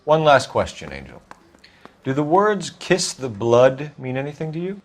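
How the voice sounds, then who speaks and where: An actor playing a stern young American police detective in a video game, questioning in a clipped, formal, accusatory way. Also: male voice, mid-range voice, big pitch swings, moderate pace, lightly acted. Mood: pressing. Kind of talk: acted dramatic video game dialogue.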